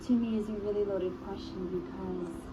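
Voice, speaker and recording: A woman's voice: mid-range voice, steady tone, normal speed. A woman from New York speaking thoughtfully and earnestly in a documentary interview. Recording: tinny, noisy documentary clip.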